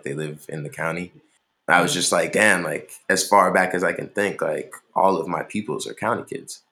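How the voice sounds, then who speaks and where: A man's voice: extremely low pitch, sharp tone. A young man from Maryland, a musician, talking casually in an interview in a laid-back, rambling way with lots of fillers. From Maryland, USA.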